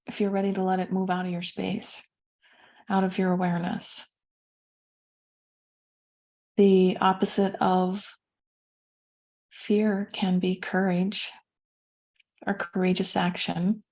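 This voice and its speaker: A woman leading a guided meditation as a teacher, speaking gently and soothingly with pauses between phrases. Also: mid-range voice, level tone.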